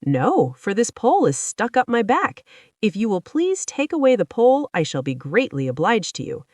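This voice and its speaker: Woman voicing a husky, lively storybook scarecrow man. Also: on the high side, moderate pace, no echo, voice pushed up. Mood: polite complaint. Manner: courteous, earnest, confiding. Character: friendly, polite, wistful male scarecrow stuffed with straw.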